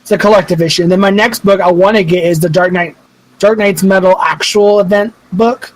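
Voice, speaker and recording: A man's voice: high-pitched, steady tone, a little fast, rough recording. A man in his twenties in casual podcast chat, rambling and swearing freely as a co-host. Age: 20s.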